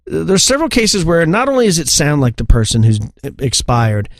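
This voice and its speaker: A man's voice: on the high side, big pitch swings, moderate pace, dry recording. A man co-hosting a podcast, chatting casually and telling stories in a conversational way. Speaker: podcast co-host. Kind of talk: casual chat, storytelling.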